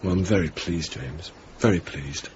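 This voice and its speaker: Actor as a low-voiced wry young RAF officer. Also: male voice, normal speed, natural voice. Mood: dry, teasing. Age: young adult. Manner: dry, ironic, clipped understatement. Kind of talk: radio drama dialogue and narration. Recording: dull, hissy 1980s radio broadcast.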